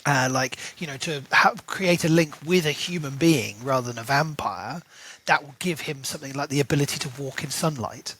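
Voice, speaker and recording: A man's voice: fairly high pitch, fairly quick, a bit bright, husky, poor audio. A man co-hosting a podcast, talking through a book in a chatty, rambling way full of "like" and "you know". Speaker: podcast co-host. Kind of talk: casual book discussion.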